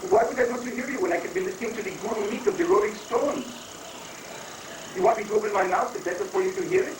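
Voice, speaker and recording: A man's voice: high voice, fast talker, lightly acted. A man playing a cheerful, evasive wandering foreign guru obsessed with rock, rambling and mock-pious in a comic stage reading. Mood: playfully obtuse. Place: echoey room, mic at a distance. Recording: noisy 1980s live recording, echoey, distant mic.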